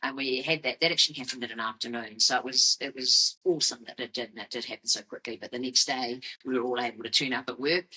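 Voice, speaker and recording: A middle-aged woman with a New Zealand accent and a husky voice, telling a business story conversationally in measured, explanatory phrases. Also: very deep voice, sharp tone, poor audio. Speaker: fashion business founder on a company podcast.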